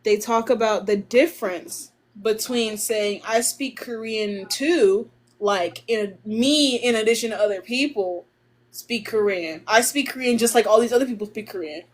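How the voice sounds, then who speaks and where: A young woman casually explaining Korean grammar on a live stream and slowly sounding out Korean phrases. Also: fairly high pitch.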